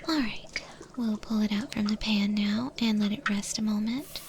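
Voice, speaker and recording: A woman playing a calm, courteous eldritch monster chef in a soft-spoken, whispered ASMR roleplay. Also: medium pitch, slight background noise, lightly acted. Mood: calm, instructive. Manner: slow, gentle, polite, unhurried.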